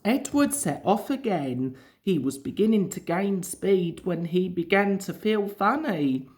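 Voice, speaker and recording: A woman's voice: mid-range voice, lively intonation, a little slow, a little mellow. A woman reading a children's story aloud as its narrator.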